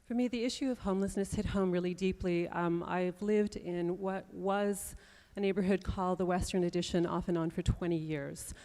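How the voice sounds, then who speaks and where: A woman on a conference panel telling of her neighborhood, earnest and measured. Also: fairly low pitch, fairly even intonation, mellow. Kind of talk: personal panel statement. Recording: long reverb of a large hall.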